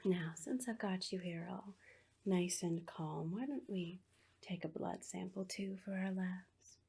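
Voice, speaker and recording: Woman playing a breathy, whispering sinister asylum nurse. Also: on the low side, dark tone, full character voice. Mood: soothing, clinical. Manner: slow, coaxing, falsely reassuring. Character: sinister asylum nurse, soothing on the surface, quietly menacing. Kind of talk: whispered ASMR horror roleplay.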